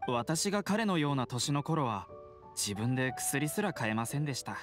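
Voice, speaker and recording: A kind young male pharmacy assistant from a game, played by a man, speaks at a middling pitch. He is polite and sincere, with faint music behind. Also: big pitch swings, normal speed, natural voice. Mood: warm, reflective. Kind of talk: scripted game dialogue.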